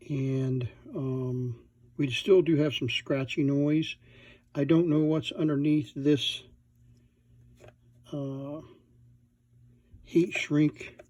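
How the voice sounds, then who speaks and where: A man at an indoor workbench gives an unscripted, hands-on repair demonstration, thinking aloud in a halting way as he works. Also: mid-range voice, mellow, dry recording, dull audio. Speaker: electronics repair hobbyist presenting.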